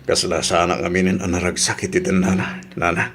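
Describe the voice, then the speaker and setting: Radio drama: contrite husband, hesitant and pleading, rough husky low voice. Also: male voice, level tone, a little fast, a bit bright, full character voice. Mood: downcast, frustrated. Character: remorseful, pleading husband and troubled grown son.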